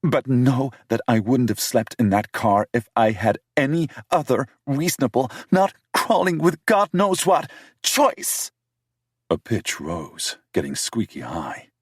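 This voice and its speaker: A sharp-tongued young woman snaps back in a lifted, strained voice, as a man puts her on for an audiobook. Her tone swings widely with a husky grain. The recording is close and dry. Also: mid-range voice, sing-song, a little slow, no echo, voice pushed up. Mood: indignant. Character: young woman, prickly and defensive, quick to snap. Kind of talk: audiobook character voice.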